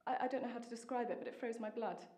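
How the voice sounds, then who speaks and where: A woman with a British accent acting a lonely, bitter, longing young wife in a stage monologue, intense and deliberate, with dramatic pauses. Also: on the high side, a little mellow, lightly acted. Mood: hurt, brittle. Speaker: acting student. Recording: echoey room.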